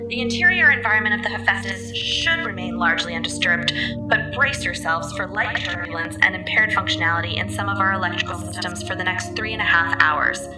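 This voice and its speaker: A woman playing a calm, courteous shipboard computer intelligence giving formal, measured announcements in an audio drama. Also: lowish voice, close to an everyday voice, rough recording. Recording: loud music under the voice.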